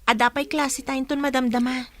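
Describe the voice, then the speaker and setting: A woman's voice: sits high, flat delivery, normal speed, fairly bright tone. An actress playing a young schoolgirl daughter who talks politely and dutifully in a radio drama. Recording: radio drama with crowd behind.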